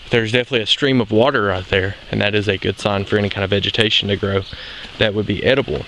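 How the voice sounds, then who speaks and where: A young man with a lowish voice talks through a woodland hike in an easy, explaining manner. The handheld camera catches him outdoors with clear noise from brush and footsteps around him. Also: quick pace, low-quality recording. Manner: relaxed, explains as he walks. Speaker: outdoor channel host. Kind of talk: casual hiking narration. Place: outdoors in a forest.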